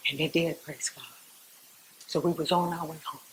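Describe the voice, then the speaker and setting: A woman playing a devout, resolute Southern civil rights activist, telling her story to church in a theatrical, folksy monologue with dramatic pauses and repetition. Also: deep voice, steady tone, a little hiss or hum, lightly acted, low-quality recording. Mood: earnest, relieved.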